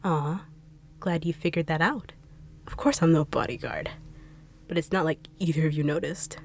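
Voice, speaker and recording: Villainous female treasure hunter, voiced by a woman, low-pitched, smug, purring taunts, faint hiss behind. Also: darkish tone. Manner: taunting, purring, slow teasing delivery with whispered asides. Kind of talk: audio roleplay drama.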